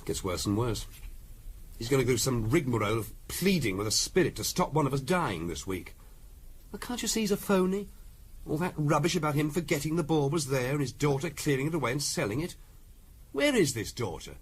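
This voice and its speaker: An actor playing a stubborn middle-class sceptic in a 1970s radio drama, sarcastic and dismissive. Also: male voice, medium pitch, sing-song, brisk, breathy edge. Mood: scornful.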